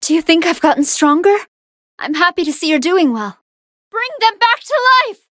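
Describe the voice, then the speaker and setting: A good-hearted android fighting girl from an anime video game. The voice is extremely high and bright, swinging widely in pitch. The delivery is polite, earnest and emphatic. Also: sing-song, normal speed, no room sound, studio quality, heavily acted. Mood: gentle, polite, then pleading, urgent.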